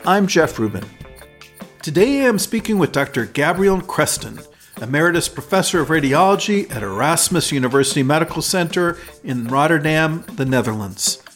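A man hosting a podcast, reading a formal introduction and asking interview questions in a measured way. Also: fairly high pitch, a bit bright. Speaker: podcast host.

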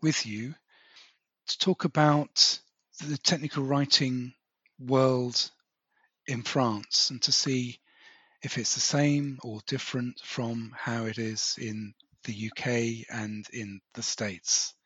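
A man hosting a podcast interview who asks relaxed follow-up questions and sums up what he hears. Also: medium pitch, slow pace, slightly rough, dry recording, muffled recording. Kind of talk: casual conversational interview.

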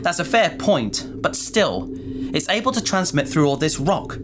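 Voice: medium pitch, sing-song, moderate pace, over music, natural voice. An actor playing a caring, practical space adventurer in a sci-fi audio drama, with an earnest, reasoning way of talking.

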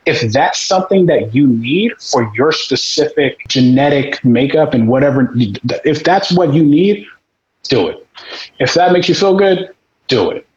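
A man's voice: fairly high pitch, lively intonation, measured pace, dull audio. Blunt, swearing man in casual chat. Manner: blunt, swears, acts out quoted people.

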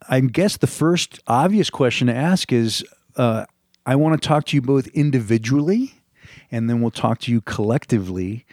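A man's voice: fairly low pitch, a little mellow, dry recording, studio quality. A middle-aged American man hosting an informal radio interview show in a rambling, conversational way. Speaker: radio show host, touring singer-songwriter showcase organizer.

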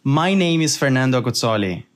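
A man with a Brazilian accent hosting a podcast episode, reading scripted narration in an earnest, measured way. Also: mid-range voice, expressive. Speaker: podcast host.

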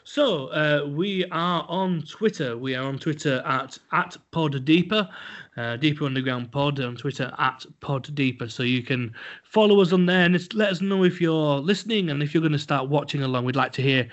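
A man's voice: fairly high pitch, moderate pace, fairly bright tone. A man co-hosting a casual podcast, talking bluntly and rambling with lots of fillers and restarts. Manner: blunt and a little crude. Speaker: podcast co-host. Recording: dull lo-fi podcast audio.

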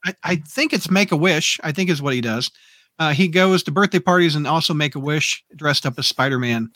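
A man's voice: a little high, brisk, bright, forceful tone, no echo. A man hosting a podcast in casual chat, reading out comments and reacting to them.

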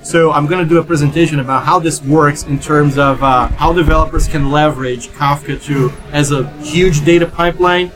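A man's voice: a little high, fairly even intonation, moderate pace, few pauses, low-quality recording. Brazilian man, tech guest, lightly raised voice, music bed behind. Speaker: developer advocate guest. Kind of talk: casual panel chat.

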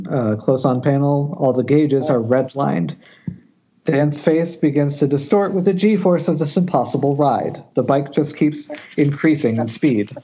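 A man's voice: medium pitch, dark tone. Muffled man reading horror screenplay directions. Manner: stumbles over words, self-correcting. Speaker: stage-direction reader. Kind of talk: reading a horror screenplay aloud at amateur table read. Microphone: internet voice call. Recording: muffled internet call audio.

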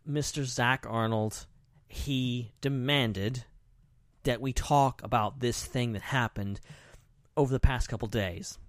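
A man's voice: medium pitch, steady tone, slow pace, no room sound, clean studio sound. A man co-hosting a podcast in casual chat, talking informally in loose fragments peppered with "like". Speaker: podcast co-host.

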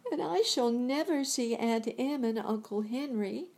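A plaintive young girl's voice, raised well above the reader's own low pitch, with a husky, gravelly edge beneath it, as a woman reads her part. The girl is gentle and sorrowful, and she speaks slowly with lively, rising lines, as in an audiobook. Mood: sad. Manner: soft, plaintive girlish voice. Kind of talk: storybook reading.

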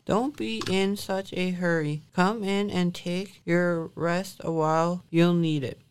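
A woman acting a shrewd, calm giant's wife in a radio drama, coaxing and unflappable. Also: fairly low pitch, slow pace, slight background noise. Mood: sly.

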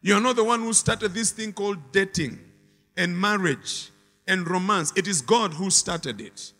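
A man's voice: sits high, moderate pace, sharp tone, big-room sound. A man preaching a sermon with emphatic, repetitive phrasing and call-and-response to the congregation.

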